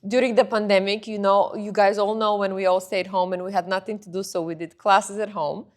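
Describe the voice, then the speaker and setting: A woman's voice: mid-range voice, steady tone, a little fast. A woman, a guest in an interview, telling her story in a chatty, rambling way. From Bulgaria.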